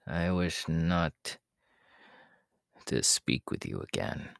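A man voicing a menacing, haughty demon king in an ASMR audio roleplay, slow and imperious, in a gravelly, breathy half-whisper. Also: rumbling low, big pitch swings, a bit bright, no echo, studio quality, exaggerated voice. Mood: commanding. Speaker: voice actor.

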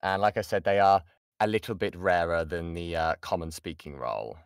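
A British man with a fairly low voice in a dry, close-sounding recording. He teaches informally like a workshop host, chatting along, interrupting himself and repeating points to stress them. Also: normal speed, clean tone, no echo. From United Kingdom. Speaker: voice actor giving a workshop. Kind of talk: informal online lesson with audience questions. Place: indoors, online voice chat.

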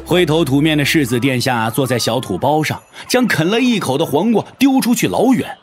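A man's voice: on the high side, expressive, a little fast, background noise. A man narrating an audio drama from a script in a dramatic storytelling style.

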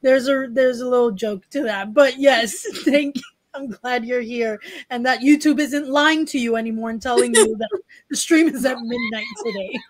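A high-pitched woman hosts a casual live stream from home, speaking over a webcam on a video call. She chats, reads comments aloud and laughs often, and her voice sounds dry and close. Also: big pitch swings, moderate pace, dry recording. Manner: laughs often, upbeat and chatty. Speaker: host of a live writing stream.

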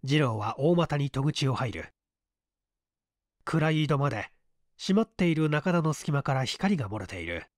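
An audiobook reader giving a measured, dramatic narration of literary fiction. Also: medium pitch, expressive, no room sound, clean studio sound.

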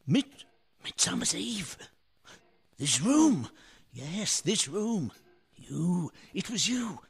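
A man acting an excitable, bewildered painter in a radio play. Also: sits high, very slow, husky, full character voice. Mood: bewildered, anguished. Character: excitable bewildered painter, doting father, dawning memory.

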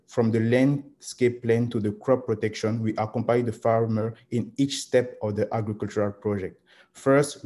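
A man, presenting a startup pitch as its founder over a video call. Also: dark tone. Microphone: video call microphone.

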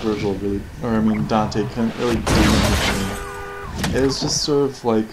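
A man's voice: lowish voice, fairly even intonation, normal speed. A man giving casual, rambling and sarcastic commentary on video game cutscenes, with flippant remarks. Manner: sarcastic, flippant, often swearing mildly. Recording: game music and effects behind. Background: video game soundtrack.

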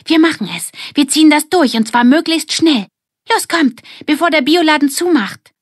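A bossy young girl from a children's audiobook, played by a woman. She is defiant and emphatic, pitched high and exclaiming her words. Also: moderate pace, dry recording, studio quality, natural voice. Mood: urgent, determined.

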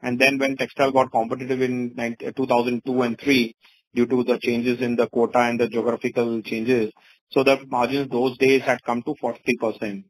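A man's voice: medium pitch, level tone, fairly quick, slightly rough, poor audio. A man with an Indian accent answering investors as a company executive on an earnings call, wordy and often saying "you know".

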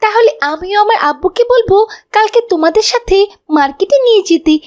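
A woman voicing a spirited, excitable young schoolgirl in a children's cartoon, chatty and expressive. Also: extremely high pitch, brisk. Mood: excited, cheerful. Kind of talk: animated children's cartoon dialogue.

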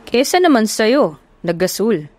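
A woman voicing a feisty, hot-tempered young woman who scolds and shouts in a sharp, quick voice. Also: a little high, big pitch swings, no room sound. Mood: angry, mocking.

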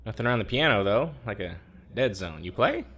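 Audio drama lead: nervy research subject, halting casual speech, lowish voice. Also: male voice, sing-song, fairly bright tone. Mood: uneasy, awkward. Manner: stammering, halting, informal. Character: anxious, sweary, hot-headed research subject. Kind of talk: naturalistic audio drama acting. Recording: faint background ambience.

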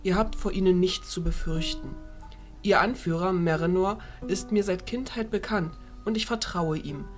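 A woman playing a dignified, diplomatic fairy ruler, speaking in a formal, measured way. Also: on the low side, faint music behind, close to an everyday voice.

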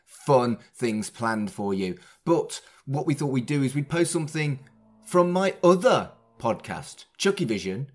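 A man hosts a podcast in a casual, chatty way. His medium-pitched voice rises and falls in animated swings, and he slips in wry, self-mocking asides. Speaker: podcast host.

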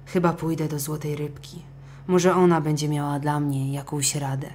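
A woman's voice: low voice, level tone, moderate pace, a little mellow, a little hiss or hum, natural voice. Meek, plaintive poor fisherman voiced by a woman. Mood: pleading. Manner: timid, pleading, plaintive. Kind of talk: children's storybook acting.

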